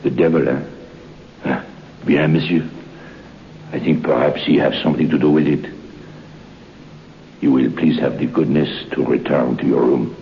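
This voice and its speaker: An actor playing a sly, deferential French head waiter with a French accent, in an old radio drama. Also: male voice, extremely low pitch, fairly even intonation, normal speed, vocal fry, pauses often. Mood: ominous. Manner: deferential yet cryptic, insinuating. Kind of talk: 1940s radio acting. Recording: muffled old radio transcription with hiss.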